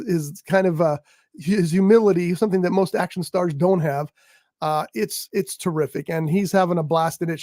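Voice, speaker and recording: A man hosting a casual showbiz talk show, rambling enthusiastically about a movie, his voice croaky today. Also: sits high, a little mellow, dry recording, studio quality. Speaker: show host. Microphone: studio mic on a live stream.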